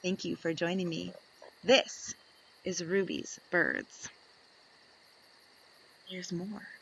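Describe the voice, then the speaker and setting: A woman reading aloud to young children in an expressive storytelling style, deliberate and fond of dramatic pauses. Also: fairly low pitch, creaky, a little hiss or hum, rough recording.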